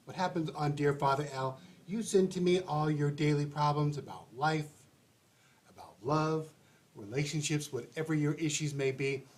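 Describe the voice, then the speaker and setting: A stuffy, congested man hosts his advice show, rambling along in a casual, chatty way. His voice sits a little on the high side for a man. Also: moderate pace. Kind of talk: casual livestream monologue.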